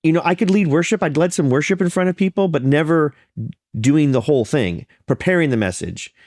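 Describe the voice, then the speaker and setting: A pastor being interviewed, talking in an earnest, storytelling way with a slightly congested, nasal sound and frequent restarts. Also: male voice, on the high side, animated, fairly quick, darkish tone, no echo, studio quality. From Florida. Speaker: pastor and podcast guest. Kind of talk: conversational interview.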